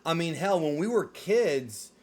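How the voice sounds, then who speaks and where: A man's voice: on the high side, expressive, measured pace. A man in his thirties hosting a casual video podcast discussion, conversational and opinionated. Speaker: host of a film discussion show.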